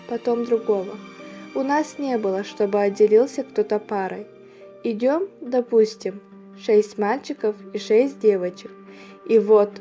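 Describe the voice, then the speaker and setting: Woman playing an earnest, mellow-voiced young war veteran. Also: fairly high pitch, lightly acted. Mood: wistful, somber. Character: young Soviet woman war veteran recalling enlisting at sixteen. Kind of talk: dramatic monologue in audio play.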